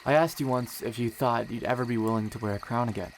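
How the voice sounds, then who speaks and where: A man playing a tender, earnest young emperor in a romantic audio drama, gentle and sincere, with gentle teasing. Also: mid-range voice, quick pace, lightly acted. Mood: nervous, loving. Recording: clear noise with trickling water behind. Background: running creek water.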